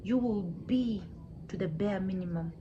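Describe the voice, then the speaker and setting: Woman as a stern, slow-speaking wrathful god, mellow-toned. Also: mid-range voice, muffled recording, slight background noise, close to an everyday voice. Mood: stern, menacing. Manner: slow, deliberate, solemn pronouncements. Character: stern, wrathful god of the land, pronouncing judgment.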